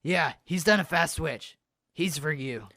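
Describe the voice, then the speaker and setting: A man's voice: a little high, lively intonation, measured pace, pushed and bright, no room sound, studio quality, full character voice. A man playing a suspicious, hard-boiled gangster boss in a radio crime drama, curt and wary. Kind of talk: old-time radio crime drama acting.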